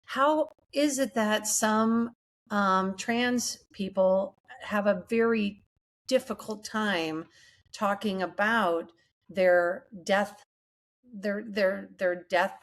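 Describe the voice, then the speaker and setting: A woman's voice: mid-range voice, drawn-out words. Informal woman podcast host, mid-range voice. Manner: informal, supportive, frank. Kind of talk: casual conversational interviewing.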